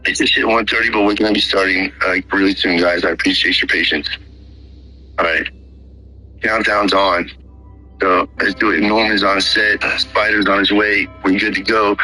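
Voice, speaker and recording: Emphatic host with a bright voice. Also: male voice, deep voice, steady tone, normal speed, soft background music, poor audio. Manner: insistent and emphatic. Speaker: show host. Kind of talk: casual livestream chat.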